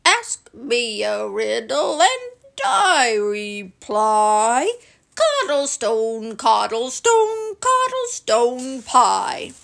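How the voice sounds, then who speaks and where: A woman voicing a cheerful, simple-minded male teddy bear who talks in a bright, friendly way and sings slow ditties. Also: sits high, big pitch swings, full character voice. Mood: singsong.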